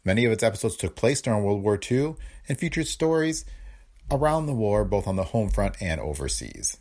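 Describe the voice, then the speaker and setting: Podcast host, man, lively pitch, husky, gravelly. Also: fairly quick. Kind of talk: podcast introduction.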